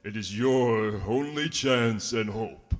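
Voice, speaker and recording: A man playing the mournful ghost of a remorseful businessman in a radio play, speaking in a solemn, grandiloquent, theatrical way. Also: lowish voice. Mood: grave, warning. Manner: slow, solemn, grandiloquent delivery. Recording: long cavernous reverb with music behind.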